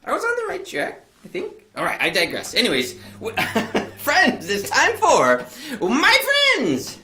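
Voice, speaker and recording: A woman's voice: medium pitch, wildly swooping pitch, unhurried, pushed and bright. A young woman hosting a children's show, bubbly and chatty, laughing often and rambling with fillers. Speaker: host of a children's library show.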